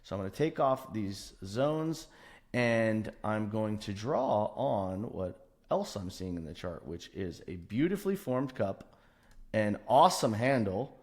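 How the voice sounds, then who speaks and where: A man's voice: lowish voice, sing-song, a little slow, darkish tone. A man presenting an unscripted trading chart walkthrough, stressing and repeating key words and often checking in with the listener. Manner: emphatic, repeats intensifiers, checks in with "right?". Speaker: stock trading analyst presenting a chart breakdown.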